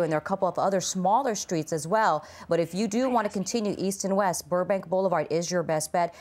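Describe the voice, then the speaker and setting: A woman's voice: low-pitched, darkish tone. An American woman ad-libbing a live traffic report, explaining and repeating directions as she goes.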